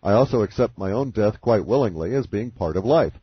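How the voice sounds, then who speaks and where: A man on a conference call giving a deliberate, philosophical explanation in a calm, speculative way. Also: lowish voice, breathy edge, no echo. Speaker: guest speaker on a conference call. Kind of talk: measured explanatory monologue. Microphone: phone line. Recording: muffled phone-call audio.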